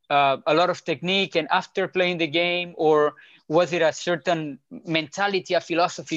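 A male interviewer with a somewhat high voice and asks his questions haltingly, stumbling and restarting his words. The recording sounds dull, with the top end cut off. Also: fairly bright tone.

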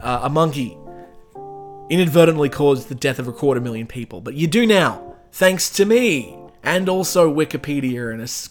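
A man hosting his own podcast, reading a history story and then rambling and joking at himself in a casual sign-off. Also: fairly high pitch. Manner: self-mocking, rambling, jokey. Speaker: podcast host.

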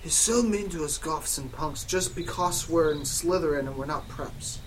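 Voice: medium pitch, moderate pace, a little hiss or hum, lightly acted, poor audio. A person reading aloud as a moody, secretive teenage goth boy, cocky and conspiratorial. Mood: sullen.

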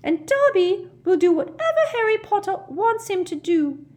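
A woman voicing a devoted, excitable little male house elf with a high, squeaky voice, speaking in the third person. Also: moderate pace. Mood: tearful, defiant.

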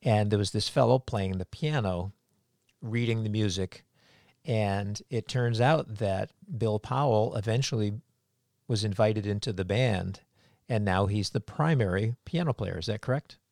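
A man interviewing a musician in relaxed conversation, asking leading questions. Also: on the low side, unhurried, vocal fry, dry recording, clean studio sound. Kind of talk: casual interview chat.

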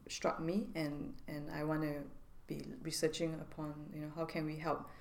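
Singaporean woman guest, low voice, hesitant and reflective. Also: level tone, normal speed, gravelly. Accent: Singaporean English. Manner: searching, hesitant phrasing with restarts. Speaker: pastor and seminary student. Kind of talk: reflective conversational podcast guest.

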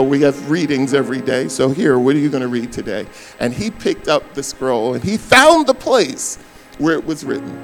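An older man, a pastor preaching a sermon, his voice hoarse, swinging between loud bursts and soft asides. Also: mid-range voice.

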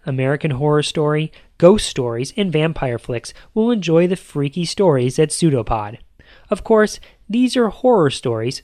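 A man's voice: fairly high pitch, no echo, studio quality. A man hosting a podcast, talking through a scripted monologue in a conversational way, with playful emphasis on lists. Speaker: podcast host.

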